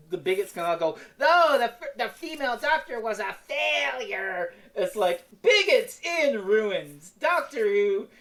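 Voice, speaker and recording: A woman's voice: mid-range voice, fairly bright tone. A woman chatting casually and jokingly on a panel, exclamatory and prone to swearing.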